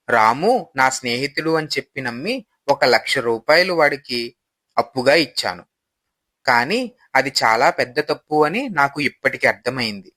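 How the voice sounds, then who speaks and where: Bright-voiced regretful husband played by a man. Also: medium pitch, no room sound. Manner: earnest, explaining. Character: regretful, troubled husband, a too-trusting friend.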